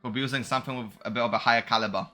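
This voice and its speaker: A man's voice: medium pitch, steady tone, very fast, pushed and bright, faint music behind. A young man giving casual, slangy gameplay commentary, musing aloud as he goes along. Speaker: game streamer.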